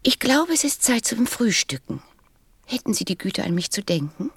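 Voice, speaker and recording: A woman's voice: fairly high pitch, sing-song, moderate pace, breathy edge, no room sound. An actress playing a vain, fussy female flower with affected, haughty manners in a radio play. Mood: coy, demanding. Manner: affected, haughty formal address.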